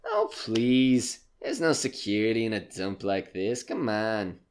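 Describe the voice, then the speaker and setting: A man's voice: lowish voice, huge pitch swings, a little slow, clean tone, heavily acted. A man playing a falsely friendly, menacing stranger in an audio drama, mock-polite and sing-song. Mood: sly, taunting. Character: menacing, falsely friendly male stranger, oily uninvited guest.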